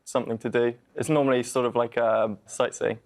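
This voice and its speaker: A man's voice: medium pitch, steady tone, a little fast, vocal fry. A twenty-year-old man from southern England with an English accent, chatting casually as a student contestant on a quiz show. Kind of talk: casual unscripted chat. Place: TV quiz studio.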